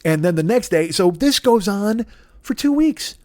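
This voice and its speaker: A man's voice: high-pitched, lively intonation, a little slow, a little mellow, dry recording, studio quality. A man in his forties hosting a podcast, telling a comic story in a rambling, profane, exasperated way. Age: 40s. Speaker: podcast host. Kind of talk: comedic storytelling monologue.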